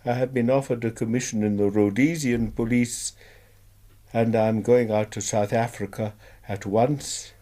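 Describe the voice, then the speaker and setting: A male actor plays a proper college tutor in a radio drama. His voice is dark-toned and middling in pitch. He is stern, formal and deliberate. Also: fairly even intonation, close to an everyday voice. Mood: reading, reproving. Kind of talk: British radio drama acting.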